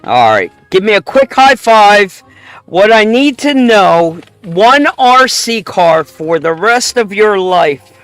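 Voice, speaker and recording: Lively high-voiced male host. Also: slow, no room sound, soft background music. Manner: joking, laughs often, calls guest bro and buddy. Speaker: show host. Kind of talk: playful rapid-fire question game.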